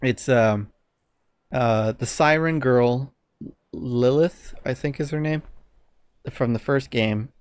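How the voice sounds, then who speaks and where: A man's voice: medium pitch, takes it slowly, pauses often, clear, resonant tone, no echo. A man co-hosting a casual podcast, giving blunt opinions with some swearing and often searching for words. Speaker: podcast co-host.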